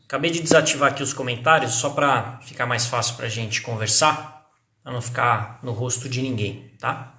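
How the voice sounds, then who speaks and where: Chatty São host, echoey call. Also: male voice, mid-range voice, fairly even intonation, moderate pace, pushed and bright. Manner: friendly, chatty, many tag questions. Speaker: host of a live stream. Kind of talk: casual live interview. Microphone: video call.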